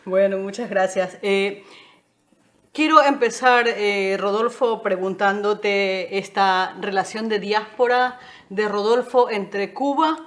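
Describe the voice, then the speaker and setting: A woman's voice: mid-range voice, takes it slowly, fairly bright tone. A woman hosting a cultural interview program, asking formal questions with some hesitation. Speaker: interview host.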